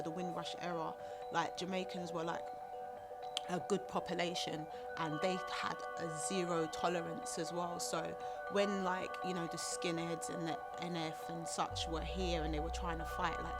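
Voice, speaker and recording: A woman, talking about her heritage in a documentary interview. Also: on the low side. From South London. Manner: chatty, many fillers like "like" and "um". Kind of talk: personal testimony.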